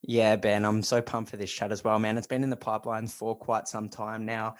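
A man's voice: fairly low pitch, little pitch movement, brisk. A man hosting a podcast, enthusiastic and pumped up, calling his guest dude. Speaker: podcast host. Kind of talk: casual interview talk.

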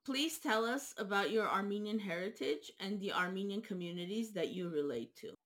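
A woman interviewer who reads a formal introduction and asks questions at a measured pace. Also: mid-range voice, animated, fairly bright tone. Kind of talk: reading a scripted introduction.

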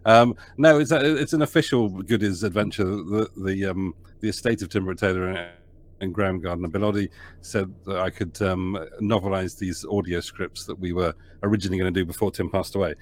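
A man's voice: low voice, steady tone, fairly quick, a little hiss or hum. A man who is a producer and writer, guesting on a podcast over a video call, joking and rambling with frequent laughs. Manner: jokey, rambling, laughs a lot. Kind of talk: casual interview chat.

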